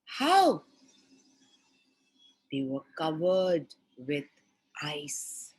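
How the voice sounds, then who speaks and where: A woman telling a fairy tale to children in an expressive style, with deliberate, dramatic pauses between short phrases. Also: deep voice, breathy edge, rough recording. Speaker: storyteller for children.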